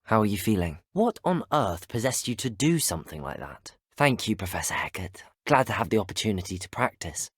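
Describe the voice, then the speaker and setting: An actor playing a polite, earnest teenage student wizard in a video game, with a British accent and courteous, articulate speech. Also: male voice, fairly low pitch, big pitch swings, brisk, hardly any pauses, fairly bright tone, breathy edge, dry recording, clean studio sound, heavily acted. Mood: inquisitive, courteous. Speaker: video game player character. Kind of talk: scripted game dialogue.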